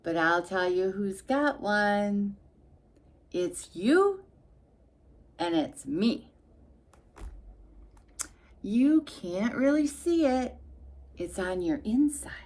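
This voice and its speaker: Female storytime reader for little kids. Unhurried delivery, lively swooping pitch, talks directly to the children. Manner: expressive, sing-song, addressing children directly. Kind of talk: read-aloud storytime for young children with chatty asides.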